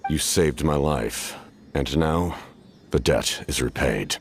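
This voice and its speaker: Stoic warrior, video game: extremely low, curt, restrained. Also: male voice, slow pace, slightly rough, exaggerated voice. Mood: grave, restrained. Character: stoic, terse, very deep-voiced male warrior. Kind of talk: dramatic video game voice acting.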